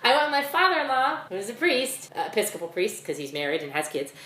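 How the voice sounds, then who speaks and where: A woman's voice: mid-range voice, sing-song, quick pace, sharp tone. A middle-aged woman co-hosting a podcast, chatting casually with joking asides. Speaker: podcast co-host. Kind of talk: casual chat. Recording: echoey room, faint ambient noise.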